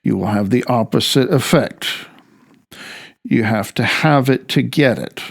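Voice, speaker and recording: Male author-narrator, creaky mid-range voice, wry and conversational, for a personal essay audiobook. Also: mid-range voice, a little slow. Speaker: author reading own audiobook. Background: music.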